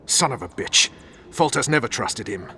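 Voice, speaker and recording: A forceful, hot-tempered soldier. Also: male voice, medium pitch, animated, moderate pace. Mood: angry. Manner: blunt, forceful, coarse. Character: blunt, hot-tempered male soldier spymaster. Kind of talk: video game dialogue acting. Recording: long hall reverb, faint background noise.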